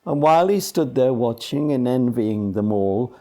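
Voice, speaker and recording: A man reciting a children's verse story in a measured, dramatic, expressive way. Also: mid-range voice. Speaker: poetry reader. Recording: music behind the voice. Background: music.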